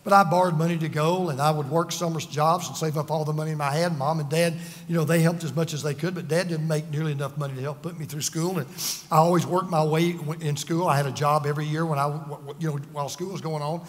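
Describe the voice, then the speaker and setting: A man's voice: fairly high pitch, steady tone, quick pace. A man over fifty preaching a sermon, earnest and emphatic, telling personal stories with self-deprecating humor. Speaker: pastor. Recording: long reverb of a large hall.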